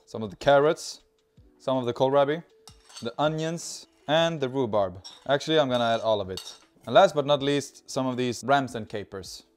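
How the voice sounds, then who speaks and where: Swedish-accented guy in his 30s cooking at home, chatty and upbeat, lapel mic, bit of soft music underneath. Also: medium pitch. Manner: chatty, cheerful, talks while working with food. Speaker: cooking video host. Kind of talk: casual cooking demonstration. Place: home kitchen with open garden door.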